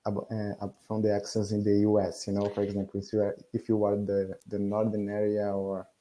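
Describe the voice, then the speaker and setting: A man's voice: fairly low pitch, completely flat delivery, a little fast, warm tone, rough recording. A man with a Brazilian accent, an English learner whose first language is Portuguese, talking on a video call in an eager, hesitant way with frequent laughs. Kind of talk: casual video-call lesson.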